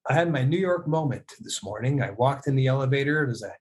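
A man's voice: mid-range voice, fast. A middle-aged man from Canada telling casual stories on a video call, acting out bits of dialogue as he goes. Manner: animated storyteller who acts out dialogue. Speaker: guest, music producer and audio mixer. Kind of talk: casual anecdotal storytelling. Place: indoor room on a video call.

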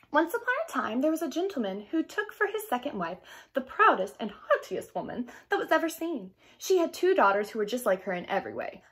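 Young female storyteller, highish and very animated, expressive children's read-aloud. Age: young adult. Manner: animated, clearly paced storytelling. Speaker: storyteller reading a picture book aloud. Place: small indoor room.

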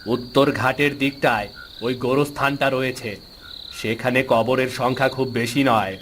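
A man's voice: medium pitch, fairly even intonation, moderate pace, fairly bright tone, slight background noise, natural voice. Man playing cautious older uncle, earnest warnings, cartoon. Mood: resigned.

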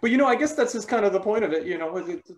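A man's voice: fairly high pitch, expressive, a little slow, a bit bright. A man playing an earnest, bewildered actor who narrates his own melodrama in a rambling, self-conscious stage-parody manner.

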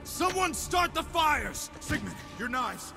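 A cruel, ravenous cannibal raider in a video game. The voice is high and swooping, agitated and menacing, over background music. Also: male voice, very animated, takes it slowly, exaggerated voice. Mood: frantic.

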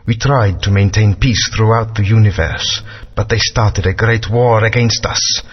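An actor playing a stern, grave elder of an ancient alien race in a video game, speaking in a measured, authoritative way. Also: male voice, lowish voice. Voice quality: husky. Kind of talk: game voice acting. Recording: dull lo-fi game audio.

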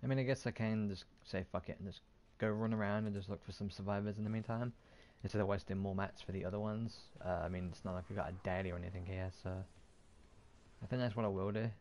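A man's voice: lowish voice, fairly even intonation, quick pace, darkish tone. A man giving casual, sweary commentary on his gameplay, often muttering to himself over video game sounds. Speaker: gaming video host. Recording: clear background game noise.

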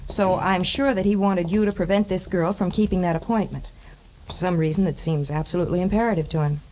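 Casting: actress as earnest young nurse, 1940s radio drama manner, vintage recording. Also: female voice, on the low side, fast, darkish tone, lightly acted, rough recording. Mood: earnest, urgent.

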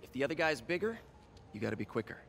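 A man's voice: mid-range voice, very animated, rapid-fire, gravelly, fairly bright tone, a little hiss or hum. A young man acting a quippy, good-hearted young superhero in a video game cutscene. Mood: wry, friendly. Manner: wisecracking, encouraging.

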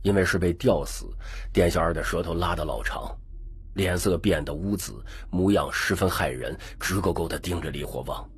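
A man's voice: low voice, moderate pace, slightly rough, no echo. A man narrating a scripted, dramatic audiobook story.

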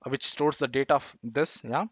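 A man's voice: medium pitch, normal speed, a bit bright, husky, no echo. A man presenting a tech tutorial, talking through code off the cuff, with many fillers and repeated "okay, yeah" tags. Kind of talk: unscripted screen-share code walkthrough.

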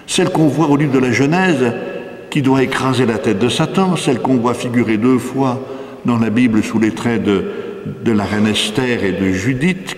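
A man's voice: medium pitch, darkish tone. An older man with an accent from France, a priest giving a didactic, emphatic sermon into a stand microphone in a church. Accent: French from France. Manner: didactic, rhetorical questions, emphatic repetition. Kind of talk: spoken sermon, teaching a congregation. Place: inside a church, before an altar. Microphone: microphone on a stand, close to the mouth. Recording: echoey church with long reverb.

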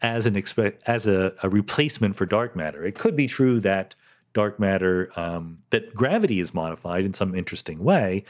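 Middle-aged male podcast host, lowish voice, solo Q&A, explains patiently with "um" and "right?" checks. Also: lively intonation, normal speed. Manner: thoughtful, explanatory, sprinkles "um" and "right?". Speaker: podcast host answering listener questions. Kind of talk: solo question-and-answer monologue.

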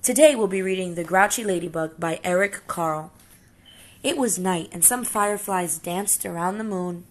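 A woman's voice: on the low side, moderate pace. A woman narrating a children's story as she reads a picture book aloud.

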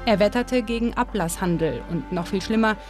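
Female documentary narrator, measured and even, mid-pitched voice, voice-over laid over clear music. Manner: calm, measured storytelling. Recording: studio voice-over with music behind.

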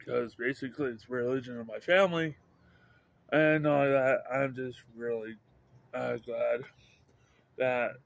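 Slow, halting middle-aged man vlogging outdoors. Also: medium pitch, creaky, dry recording. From Idaho, USA. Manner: halting, repeats and restarts words, upbeat. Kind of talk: rambling casual vlog. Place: outdoors by a house under overcast sky. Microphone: handheld phone camera at arm's length.

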